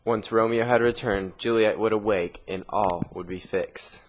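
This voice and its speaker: A man reading a scripted story narration with dramatic pauses, in a noisy amateur recording. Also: on the low side, fairly even intonation.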